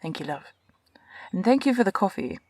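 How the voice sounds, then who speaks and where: A woman playing a calm, wry, world-weary doctor and scientist in an audio drama, speaking in a dry, measured manner. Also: mid-range voice, a little hiss or hum, natural voice. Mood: dry, composed.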